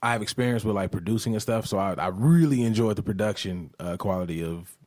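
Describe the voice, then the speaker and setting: A man's voice: on the low side, quick pace, a little mellow. An American man talking casually and slangily as a guest on a podcast. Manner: slangy, informal.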